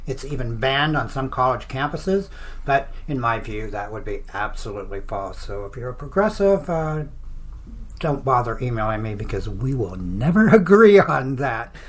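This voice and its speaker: A radio host chatting and promoting in a conversational, halting way. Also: mid-range voice, sing-song. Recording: clear background noise.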